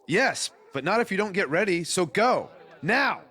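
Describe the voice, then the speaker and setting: A man's voice: high-pitched, big pitch swings, moderate pace, pushed and bright, breathy edge, full character voice. A man playing a bossy wrestling show manager in a video game cutscene, commanding and curt.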